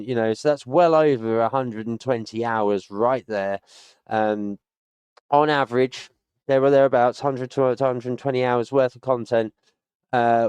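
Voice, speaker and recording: This is a British streamer in his late thirties, winding down a session with easygoing, meandering chat. His voice is smooth and clean, recorded dry with no room sound. His pitch sits at an ordinary middle level for a man. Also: darkish tone, dry recording. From United Kingdom. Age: 30s. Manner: rambling and chatty, with many fillers and stock phrases. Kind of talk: casual sign-off chat to viewers.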